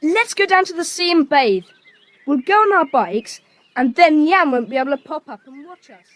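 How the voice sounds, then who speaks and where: A woman playing a lively, inquisitive child adventurer in a children's audio drama. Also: sits high, moderate pace, no room sound. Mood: exasperated.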